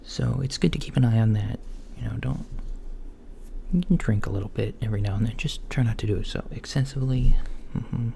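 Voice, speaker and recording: A man doing a soft-spoken, partly whispered ASMR roleplay as a calm, reassuring doctor, murmuring hesitantly with long pauses. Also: mid-range voice, creaky. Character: calm reassuring male alien doctor at a checkup. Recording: lo-fi with faint background noise.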